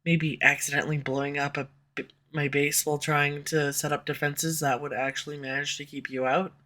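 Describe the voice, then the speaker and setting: Woman doing a snarky villain, bright edgy voice, sarcastic teasing all the way through. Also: low-pitched, flat delivery, a little fast. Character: snarky sharp-tongued villain, slightly tsundere. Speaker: audio roleplay voice actor. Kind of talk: scripted audio roleplay. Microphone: USB condenser mic.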